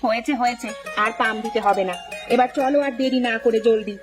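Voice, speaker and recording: A woman voicing a brisk, caring village wife in a cartoon, chiding yet affectionate. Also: on the high side, steady tone, music in the background, natural voice. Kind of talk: cartoon story dialogue.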